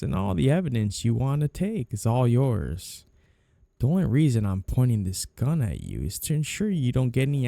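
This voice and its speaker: A man playing a flirty, two-faced, smug mafia boss in a scripted roleplay audio drama, teasing and drawing out his phrases theatrically in a gravelly voice. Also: lowish voice, mellow, no room sound, full character voice. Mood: smooth, wary.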